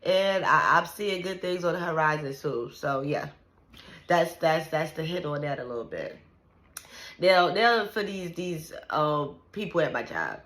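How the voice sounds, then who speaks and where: A woman's voice: deep voice, animated, unhurried, a bit bright. A woman in her thirties venting casually to the camera, blunt and profane, with lots of "like" and "you know what I'm saying". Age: 30s. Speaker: vlogger.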